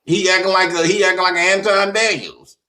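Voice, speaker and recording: A man's voice: sits high, fairly even intonation, fairly quick, bright, forceful tone, reverberant. A man bragging about himself in a casual group chat, laughing often and telling stories animatedly. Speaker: panel guest.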